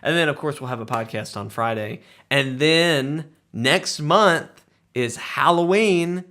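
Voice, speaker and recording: A man's voice: fairly high pitch, unhurried, sharp tone. A man hosting a casual podcast with a jokey, playful way of talking. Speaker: podcast host.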